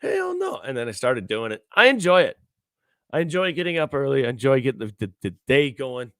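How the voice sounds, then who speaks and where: A man co-hosting morning radio in a dry, close-miked studio booth. He talks in a laid-back, sincere way, and his pitch swings widely as he banters. His voice sits at an ordinary middle pitch. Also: big pitch swings, a little fast, fairly bright tone, dry recording. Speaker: radio morning show co-host. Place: radio studio, separate room.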